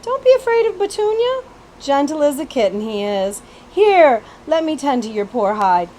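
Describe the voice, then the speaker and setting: A plucky country girl from a children's storybook, acted out by a woman. She is high-pitched, folksy and tender-hearted. Faint noise sits behind the voice. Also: sing-song, normal speed, mellow. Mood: coaxing.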